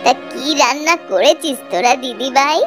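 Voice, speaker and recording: Woman as old grandmother, slow world-weary delivery, affectionate, cartoon dialogue, music behind. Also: sits high, expressive. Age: older. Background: background music.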